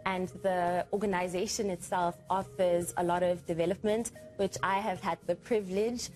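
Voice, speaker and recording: Young woman guest from south africa, a bit low-pitched, calm articulate answers, lapel mic, faint music. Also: moderate pace. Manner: composed and articulate, few fillers. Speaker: air traffic services officer guest. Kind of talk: TV morning show interview. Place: TV studio set.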